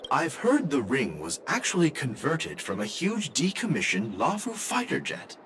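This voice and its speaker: A man's voice: medium pitch, moderate pace, background noise. A man voicing a polite, businesslike traveling merchant in a game. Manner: courteous, businesslike, expressive. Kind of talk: game voice acting. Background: game soundtrack music.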